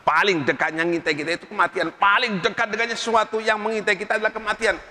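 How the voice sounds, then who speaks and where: A man's voice: high voice, steady tone, quick pace, sharp tone. A man preaching a religious sermon with emphasis, repeating phrases and quoting Arabic sayings. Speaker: Islamic preacher. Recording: long reverb in a hall.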